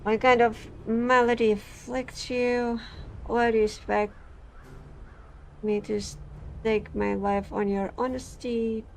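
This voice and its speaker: Diseased junk peddler guy from a game, woman reading him flat, slow, bit of fry. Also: fairly high pitch, slight background noise, low-quality recording. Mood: wary. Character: grim diseased male scrap peddler.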